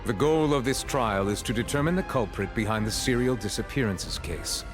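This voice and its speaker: A man voicing a stern, formal chief judge with a measured, grave manner in an anime-style game. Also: mid-range voice, animated, over music, lightly acted. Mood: stern, composed.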